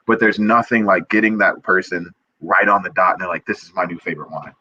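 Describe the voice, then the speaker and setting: A young man with a low, forceful voice talks earnestly about his craft, tumbling into restarts and "um"s as his enthusiasm runs ahead. The sound is dry and a little muffled, like audio from a video call. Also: a little fast, no room sound. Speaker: perfumer guest. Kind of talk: casual interview chat.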